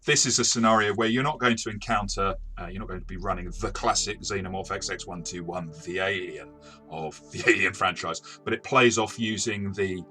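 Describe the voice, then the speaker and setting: A man's voice: deep voice, brisk, sharp tone, soft background music. A man co-hosting a review, talking in a casual, discursive and explanatory way. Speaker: co-host and game reviewer.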